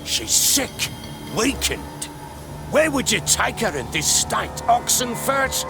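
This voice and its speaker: A man playing an anxious, possessive middle-aged husband in a video game, insistent and measured. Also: very high voice, sing-song, vocal fry, exaggerated voice. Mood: stern concern. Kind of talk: dramatic video game acting. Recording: music behind the voice. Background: game music.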